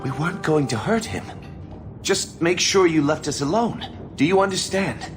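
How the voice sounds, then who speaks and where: A man's voice: a little high, animated, moderate pace, close to an everyday voice. A man playing an anxious, earnest rebel conspirator in a video game drama, pleading and defensive. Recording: loud music behind the voice.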